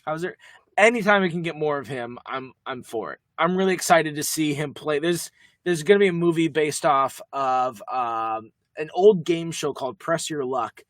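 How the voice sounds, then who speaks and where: A young man co-hosting a podcast, talking quickly in a rambling, jokey way. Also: fairly high pitch, no echo. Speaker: podcast co-host. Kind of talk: casual chat.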